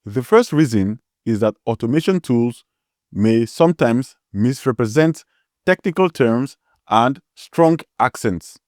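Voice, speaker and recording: A man's voice: mid-range voice, animated, unhurried, a little mellow, dry recording, studio quality. A man with a strong Nigerian accent hosting a tutorial, explaining step by step and spelling words out letter by letter. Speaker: tutorial host.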